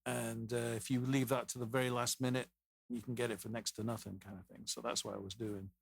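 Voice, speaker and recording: A man's voice: medium pitch, level tone, fairly quick, gravelly, husky, dry recording. A man in his fifties with an English accent, a podcast guest telling stories in a loose, rambling way. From England. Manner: rambling, anecdotal, many restarts. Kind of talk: casual storytelling interview.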